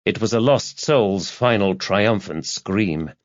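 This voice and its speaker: A man's voice: on the low side, slow pace, dry recording. A man narrating a crime thriller audiobook in a scripted, dramatic storytelling style. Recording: slightly dull, lo-fi audio.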